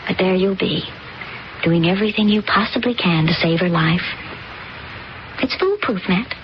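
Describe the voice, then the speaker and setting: A woman's voice: lowish voice, normal speed, slight background noise, lightly acted. A woman playing a cold scheming young woman. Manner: cold, controlling, persuasive. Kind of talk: 1970s radio drama acting. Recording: dull lo-fi old radio recording.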